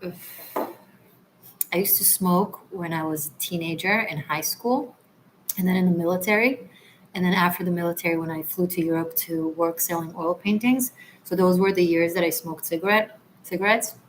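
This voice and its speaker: Relaxed, chatty lower-voiced woman. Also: normal speed, slight background noise, poor audio. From Israel. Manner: relaxed, chatty, with frequent restarts and soft trailing asides. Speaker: livestream host, tarot reader. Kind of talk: casual livestream chat reading comments.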